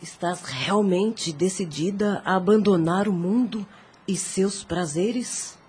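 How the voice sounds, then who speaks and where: An actress playing a stern elderly mother superior in a radio drama, speaking slowly and gravely. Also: medium pitch, a little mellow. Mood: solemn. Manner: slow, grave and measured.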